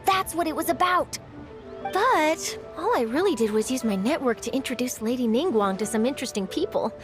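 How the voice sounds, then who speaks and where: An actress voicing a cheerful young woman reporter in a video game, bright and bubbly with quick asides. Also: high-pitched, animated, music in the background. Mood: upbeat.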